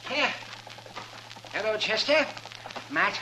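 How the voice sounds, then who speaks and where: An actor playing a crusty, principled older town doctor in an old-time radio western, speaking in a folksy, emphatic way. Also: male voice, high voice, expressive, slow pace. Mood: guarded. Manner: folksy, emphatic storytelling with rural grammar. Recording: noisy vintage radio recording.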